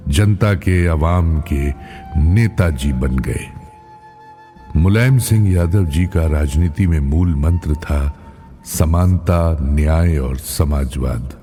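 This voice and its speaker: Man, very low voice, dark mellow tone, solemn scripted tribute narration, deliberate pauses, music behind. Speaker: political party spokesperson reading a tribute.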